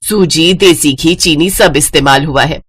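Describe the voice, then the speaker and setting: A woman's voice: deep voice, unhurried, a bit bright, husky, dry recording, pitched below the performer's own voice, full character voice. A woman voicing a proud, bossy older mother-in-law in a family story, speaking emphatically. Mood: scolding.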